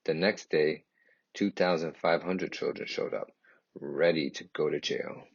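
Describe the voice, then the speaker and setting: A man with a low, husky voice narrates a children's storybook. His delivery is earnest and deliberate, with dramatic phrasing. The recording is roomy and a little muffled. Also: steady tone. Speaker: reader of a children's picture book. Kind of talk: expressive scripted storybook narration in first person.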